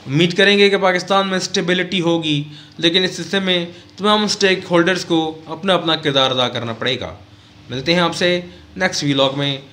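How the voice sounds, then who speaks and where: Bright-voiced man giving news commentary. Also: on the high side. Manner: measured, formal, with frequent "uh" pauses. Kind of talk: current-affairs commentary vlog. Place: small indoor studio set at a desk. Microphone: desk mic on a stand close to the mouth.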